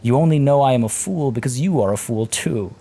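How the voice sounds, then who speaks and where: A man's voice: mid-range voice, animated, fairly quick, mellow, breathy edge. A man voicing a snappish, insulted male court official in a game's event text, speaking with indignant bite.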